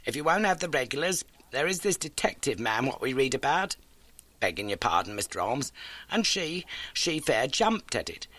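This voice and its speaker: A man's voice: medium pitch, very animated, normal speed, a bit bright, dry recording, pitched above the performer's own voice. A man voicing an elderly, motherly working-class landlady in an audiobook, garrulous, earnest and folksy. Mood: anxious, confiding. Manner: chatty, folksy, dramatic storytelling. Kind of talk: audiobook character acting.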